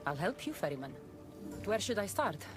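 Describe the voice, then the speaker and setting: A woman's voice: mid-range voice, big pitch swings, normal speed, over music, close to an everyday voice. An actress voicing a determined, wry mortal warrior woman of ancient Greece in a video game, speaking in a dry, resolute way.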